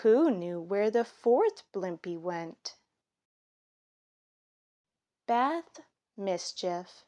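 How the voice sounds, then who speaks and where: A woman reading a children's story aloud in an expressive, measured storytelling manner. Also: medium pitch, highs cut off. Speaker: storybook reader. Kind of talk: children's story read aloud, scripted narration.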